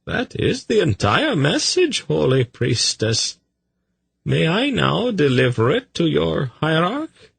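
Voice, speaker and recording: An unflappable male slaver in a fantasy audiobook, pitched well up from the reader's usual voice. He talks slowly and deferentially, courteous but evasive, in a husky, swooping voice. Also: huge pitch swings, no room sound, exaggerated voice. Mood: placating, evasive. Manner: deferential, measured, smoothly placating. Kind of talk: fantasy audiobook character acting.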